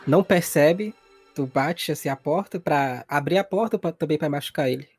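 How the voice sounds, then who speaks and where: A man's voice: fairly high pitch, normal speed, a little mellow, slightly rough, faint music behind. A man with a Brazilian accent narrating a tabletop role-playing game as game master, colloquial and explanatory.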